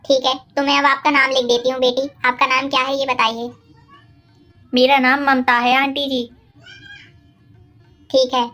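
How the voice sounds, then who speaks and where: A woman playing a high-voiced, polite young neighbourhood woman. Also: flat delivery, normal speed, long pauses, sharp tone, faint music behind. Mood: polite, assured. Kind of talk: Hindi cartoon moral-story voice acting.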